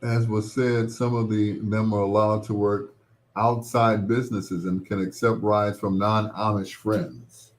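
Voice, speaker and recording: A man hosting a casual call-in radio show, talking in a wry, exasperated, drawn-out manner. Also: lowish voice, fairly even intonation. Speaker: radio talk show host.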